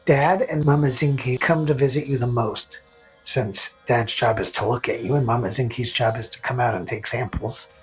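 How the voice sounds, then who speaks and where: A man narrating a scripted fantasy story in the second person, with a gentle, whimsical storytelling manner. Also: medium pitch, steady tone, moderate pace. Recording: faint music under narration.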